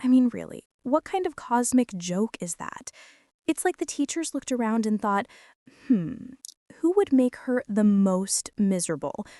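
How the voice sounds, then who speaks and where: A woman performs a bratty schoolgirl bully in an intimate ASMR roleplay. Her voice sits fairly high and swings expressively through sarcastic, exasperated grumbling. The sound is very dry, as she grudgingly addresses the listener. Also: lively intonation, measured pace, dark tone, dry recording. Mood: sarcastic, annoyed. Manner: whiny, sarcastic, exasperated complaining with sighs. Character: bratty teenage girl bully, grumbling, secretly softening toward tentmate.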